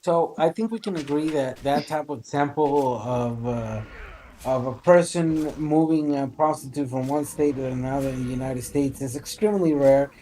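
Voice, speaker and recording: A man's voice: mid-range voice, normal speed, dark tone, a little hiss or hum, rough recording. A man in his forties debating informally, talking discursively with formal wording mixed with swearing. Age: 40s. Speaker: debate participant.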